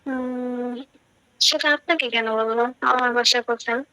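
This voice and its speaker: A woman's voice: on the high side, fairly even intonation, a little slow, sharp tone, no echo. A woman with a Bangladeshi accent in a casual phone conversation, guarded and full of questions. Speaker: call recipient. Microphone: phone call. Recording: dull lo-fi phone call audio.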